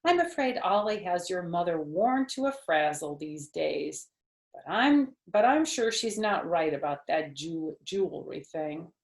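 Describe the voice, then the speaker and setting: A woman's voice: lowish voice, lively intonation, a little slow. A woman voicing a patient, puzzled father in a storybook, reassuring and explaining a little hesitantly. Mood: apologetic, doubtful. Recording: roomy, echoey home recording.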